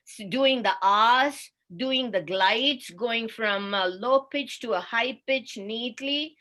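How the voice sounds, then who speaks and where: An Indian woman teaching in a webinar, slow and careful, with each point laid out deliberately. Her voice sits a little high and sounds bright and forward over a video call mic. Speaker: speech therapist. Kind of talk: webinar presentation.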